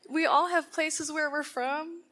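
Slow, bright-voiced woman preaching. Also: high voice, steady tone. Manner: deliberate, emphatic, asks rhetorical questions. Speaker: Bible teacher at a women's conference. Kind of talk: sermon. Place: conference ballroom.

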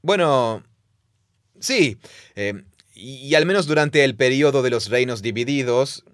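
A man dubbing a podcast co-host, explaining things with quick affirmations and light laughs, recorded in a studio. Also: mid-range voice, animated, fairly bright tone, no room sound. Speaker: podcast co-host, Spanish dub. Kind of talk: dubbed conversational podcast.

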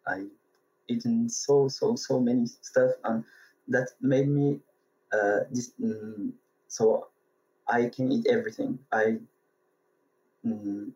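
Slow, muffled French-accented man. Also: mid-range voice, flat delivery, darkish tone, slightly rough. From France and Tunisia. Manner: hesitant, searching for words. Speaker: interview guest, sake brewery worker. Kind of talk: casual interview answers. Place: remote call from Japan. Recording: dull, muffled remote connection.

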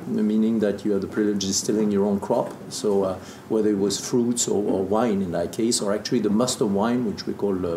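Male, 50s, French accent, native French speaker, lower register, casual storyteller. Echoey room, some background noise. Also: mellow. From southern Burgundy, France. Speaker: interview guest, distiller.

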